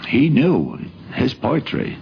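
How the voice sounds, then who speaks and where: A man's voice: medium pitch, wildly swooping pitch, slow pace. A man reminiscing emphatically as an interviewee in an old radio documentary, in a declamatory storytelling way. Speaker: interviewee recalling a late friend. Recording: muffled, noisy 1950s radio recording.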